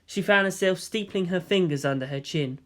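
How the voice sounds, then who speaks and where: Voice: medium pitch, brisk, fairly bright tone. A narrator giving a scripted, dramatic reading of anime fan fiction. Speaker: audiobook narrator.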